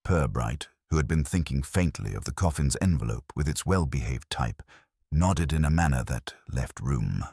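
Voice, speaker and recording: Male narrator for wry literary mystery: extremely low voice, dry close recording, measured scripted delivery. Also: lively intonation, vocal fry, a little mellow, breathy edge, dry recording. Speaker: audiobook narrator.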